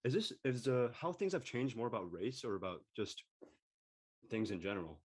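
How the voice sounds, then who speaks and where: Low-voiced teenage guy, casual and tentative. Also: sing-song, normal speed, a little mellow, slightly rough. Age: teens, high school student. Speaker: young actor in the cast. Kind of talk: casual chat.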